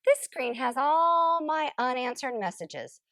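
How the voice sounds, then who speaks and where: A woman voicing a smug, lazy couch potato in an expressive, theatrical storybook read-aloud. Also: high-pitched, dry recording, pitched above the performer's own voice, full character voice. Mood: smug, boastful. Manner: theatrical, exclamatory, drawn-out emphasis. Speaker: storybook narrator character. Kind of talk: expressive first-person picture book read-aloud.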